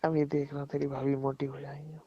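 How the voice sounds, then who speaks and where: A man's voice: fairly high pitch, monotone, brisk, slightly rough. A young man in a casual private phone chat, talking in a teasing way with short questions. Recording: dull lo-fi phone call recording.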